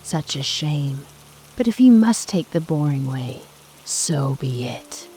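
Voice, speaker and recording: A woman's voice: low voice, expressive, a little hiss or hum, low-quality recording. A woman voicing a sly, predatory wolf with a falsely sweet, coaxing manner in a bedtime storybook. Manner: wheedling, drawn-out, mock-kindly. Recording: soft music and rain behind.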